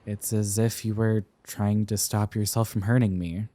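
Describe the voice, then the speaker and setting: A man's voice: on the low side, little pitch movement, moderate pace, no echo, natural voice. A young man playing a tender, flustered college-age boyfriend in an intimate romantic roleplay, with hesitant, stammering delivery. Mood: earnest, wistful. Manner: hesitant, stammering, soft-hearted.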